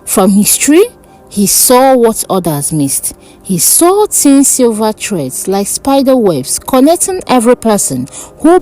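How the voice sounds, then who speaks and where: A woman narrating a dark fantasy folk tale in a dramatic, deliberate way. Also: mid-range voice, poor audio. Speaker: storyteller. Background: background music.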